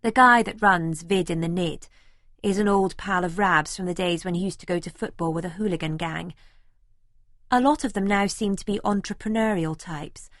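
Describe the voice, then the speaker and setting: A woman's voice: on the low side, normal speed, a bit bright, no echo, clean studio sound, natural voice. A woman playing a young, observant female student who narrates her own life in an audiobook. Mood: observant, matter-of-fact. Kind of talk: first-person audiobook narration.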